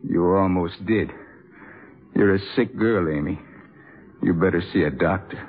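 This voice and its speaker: A man playing a bitter, hard-drinking, disillusioned jazz trumpeter in a 1950s radio drama, talking in slangy, halting phrases. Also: low voice, soft background music. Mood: bitter anger. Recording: tinny 1950s radio recording.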